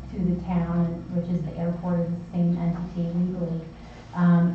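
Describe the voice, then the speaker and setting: A woman with a Maine accent speaks to a town board in a low, even voice, pausing on frequent ums. She sounds far from the mic in a very echoey meeting room. The recording is dull and muffled. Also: a little hiss or hum. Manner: measured, many ums and restarts. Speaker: town official presenting to the board. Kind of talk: formal public meeting report.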